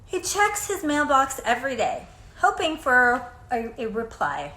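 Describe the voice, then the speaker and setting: Casting: female children's storybook reader, lively intonation and expressive, deliberate delivery, recorded in an echoey room. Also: high voice. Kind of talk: children's picture book read-aloud. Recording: echoey room, faint background noise.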